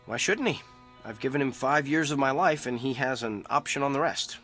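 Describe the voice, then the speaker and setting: A man's voice: mid-range voice, lively intonation, brisk, a bit bright, soft background music, natural voice. An actor playing a troubled, sardonic company man in therapy in an audio drama, with a curt, defensive delivery. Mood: bitter, wry.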